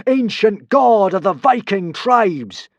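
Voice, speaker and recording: A man reading aloud, voicing a boy who poses as a thunder god and speaks in a booming, solemn, grand way. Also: lively intonation, slow, no room sound, dull audio, exaggerated voice.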